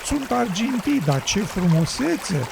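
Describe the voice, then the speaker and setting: An actor playing a weary, ailing road builder in an educational radio drama, gravelly and husky, with a plaintive storytelling manner. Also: male voice, high voice, big pitch swings, noisy background, heavily acted. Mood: amazed.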